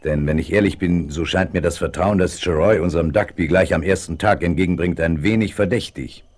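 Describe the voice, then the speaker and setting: An actor playing a cool, calculating leader of a Western outlaw gang in a 1970s radio play, commanding and deliberate. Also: male voice, deep voice, a little mellow.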